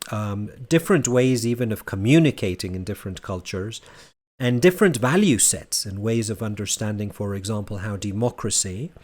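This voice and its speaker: A man who grew up in Barcelona, presenting his research at a conference as a university lecturer. He speaks in a measured way and builds his points as lists. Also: medium pitch, a little mellow. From Spain (Barcelona).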